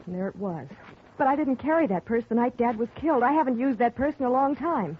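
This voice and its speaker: A woman's voice: fairly high pitch, animated, fast, breathy edge. An actress playing an earnest young woman accused of murder in a 1950s radio drama. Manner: earnest, pleading. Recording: dull old radio broadcast.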